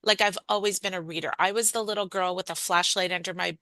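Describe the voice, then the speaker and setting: A woman author chatting candidly and quickly in an interview about how she became a writer. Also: on the low side, steady tone, bright, forceful tone, no room sound. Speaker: author guest. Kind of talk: casual interview conversation.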